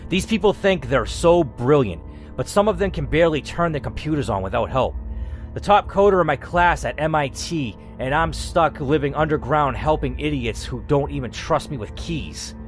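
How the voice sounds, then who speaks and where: A man's voice: fairly high pitch, lively intonation, normal speed, soft background music, natural voice. Man reading as a bitter reclusive IT worker. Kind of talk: reading a story game aloud.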